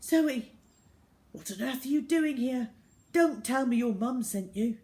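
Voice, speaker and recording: A tired, defeated father from a children's storybook , voiced by a woman reading aloud. He sounds bewildered and sceptical, his words doubtful and his pitch a little raised. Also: lively intonation, a little slow, darkish tone. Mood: startled.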